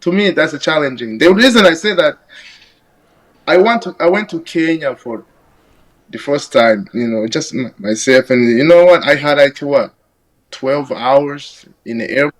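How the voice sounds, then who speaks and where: A young man from Burundi, a podcast guest, talking over his life in a halting, reflective way full of fillers. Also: on the high side, animated, low-quality recording. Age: young adult. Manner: reflective, halting, many "you know" fillers. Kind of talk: casual conversation.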